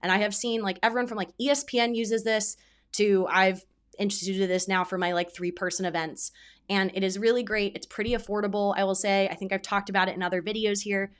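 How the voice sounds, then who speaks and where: Woman event producer doing a casual how-to video, chatty explaining, mid pitch voice, gives little examples. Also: steady tone, a little fast, clear, resonant tone. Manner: conversational, explanatory, with spoken examples. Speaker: event producer giving advice as video host. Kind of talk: casual explainer talk to camera.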